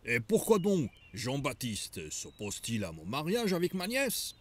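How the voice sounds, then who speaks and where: Entitled king for religious animation: haughty, commanding, very varied intonation, unhurried. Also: mid-range voice, huge pitch swings. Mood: haughty, indignant. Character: imperious, entitled king defying religious law. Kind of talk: animated religious drama acting.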